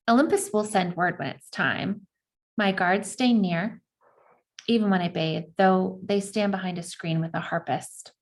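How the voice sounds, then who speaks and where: A woman reads a children's diary-style novel aloud in the first person. Her voice sits at a middle pitch, and her delivery is expressive. Now and then she breaks off to explain something to her listeners. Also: creaky.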